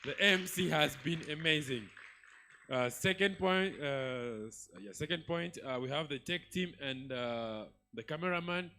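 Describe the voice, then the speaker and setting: A man from DR Congo with a Congolese accent, giving impromptu feedback at an event, halting between his points. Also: on the high side, sharp tone, a little hiss or hum. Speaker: summit attendee giving feedback. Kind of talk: impromptu public remarks.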